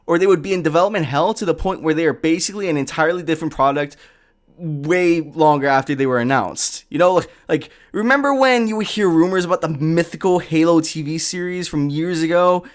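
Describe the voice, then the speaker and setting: A man delivering comedic scripted commentary with casual asides, in a sarcastic, exasperated and sometimes profane way. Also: a little high. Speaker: video essayist.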